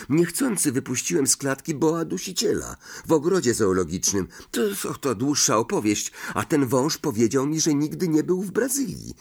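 A man's voice: a little high, creaky, a little mellow, breathy edge, voice pushed up, full character voice. A man voicing an indignant, bewildered boy wizard in an audiobook, protesting and stammering defensively. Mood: flustered explaining. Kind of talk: audiobook character acting.

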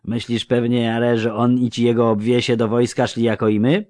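A man's voice: medium pitch, flat delivery, moderate pace, a little mellow, no echo, voice pushed up, close to an everyday voice. A man voicing a drunk, boastful young country lad who talks in a slurred rustic dialect.

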